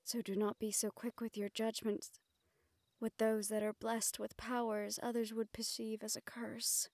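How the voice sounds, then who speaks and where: A woman playing a gentle, playfully reproving fantasy sweetheart in a romantic audio roleplay, speaking tenderly in formal phrases. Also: on the high side, level tone, unhurried, no room sound. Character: gentle, playfully scolding fantasy woman courting a dragon general.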